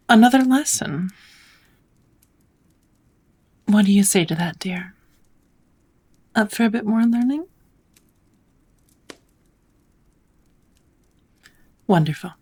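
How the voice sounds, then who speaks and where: A woman playing a kind, patient witch teacher in an ASMR roleplay, speaking gently in measured, encouraging phrases that often slip into whispers. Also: mid-range voice. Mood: warm, nurturing. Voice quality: soft, breathy. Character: kind, patient witch mentoring a dear apprentice. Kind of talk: ASMR roleplay, second-person scripted teaching.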